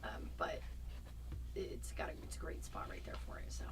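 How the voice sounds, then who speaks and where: A woman co-hosting a casual homestead livestream, giggling often, her voice a little hoarse. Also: moderate pace, whispered. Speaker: livestream co-host.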